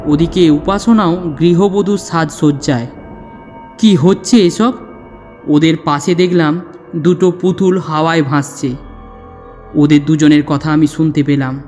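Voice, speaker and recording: A man's voice: fairly high pitch, fairly even intonation, unhurried, pauses often, darkish tone, lightly acted. A man playing a frightened, curious young man who narrates a ghost story with suspenseful, dramatic delivery. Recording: long reverb, music underneath.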